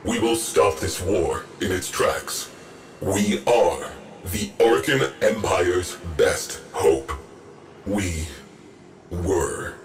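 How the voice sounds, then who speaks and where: An actor playing a weary, proud old machine mind in a video game, speaking gravely and deliberately like a mournful war veteran. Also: male voice, sits low, lively intonation, noisy background, exaggerated voice. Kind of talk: scripted game character dialogue.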